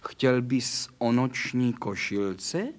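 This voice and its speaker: A man's voice: medium pitch, close to an everyday voice. A man voicing a caring female cat who coos tender pet names. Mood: calm.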